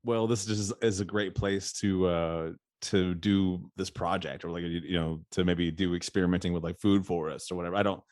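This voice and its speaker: A man's voice: low voice, steady tone, normal speed, hardly any pauses, darkish tone, dry recording, studio quality. A man hosting a podcast, talking in a loose, rambling way and laughing often. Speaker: podcast host. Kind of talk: casual interview chat.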